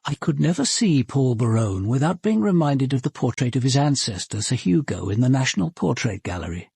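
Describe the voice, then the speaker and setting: An actor playing a grave, authoritative senior police detective in a British radio drama, speaking in a measured, articulate British accent with dry understatement and a husky voice. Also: male voice, medium pitch, sing-song, darkish tone, dry recording, heavily acted. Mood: measured, reflective. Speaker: lead actor and narrator. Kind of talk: radio drama acting and narration.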